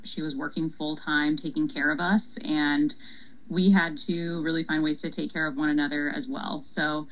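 A young woman with a low-pitched voice talks through her story in an interview. She is from Paraguay and serves on a city council, answering in a thoughtful, unhurried conversational way, with frequent ums and easy laughter. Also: poor audio.

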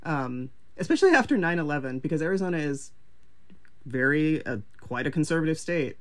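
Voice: medium pitch, lively intonation, brisk. An interview host speaking in a casual, upbeat, slangy way, peppered with "like" and "um.". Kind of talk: podcast interview.

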